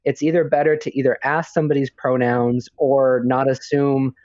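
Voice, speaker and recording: A man's voice: mid-range voice, flat delivery, normal speed, darkish tone, no room sound. Hesitant man with muffled lo-fi sound. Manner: hesitant, many fillers and restarts. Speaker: podcast co-host. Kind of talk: casual chat. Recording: dull lo-fi audio.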